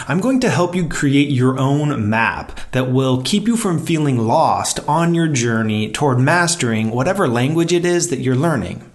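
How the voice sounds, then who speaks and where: Calm man narrating an online course. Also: mid-range voice. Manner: calm, encouraging, measured delivery.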